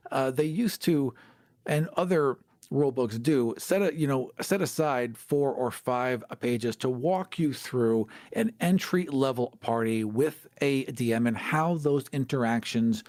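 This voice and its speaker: A man's voice: mid-range voice, measured pace, darkish tone, no echo, studio quality. A man hosting a podcast in casual discussion, earnest and opinionated in how he talks. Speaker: podcast host and producer.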